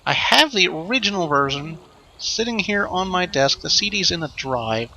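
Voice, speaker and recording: A man hosting a let's play with casual, improvised commentary, rambling and thinking out loud as he goes. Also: sits high, expressive, moderate pace, muffled recording, a little hiss or hum.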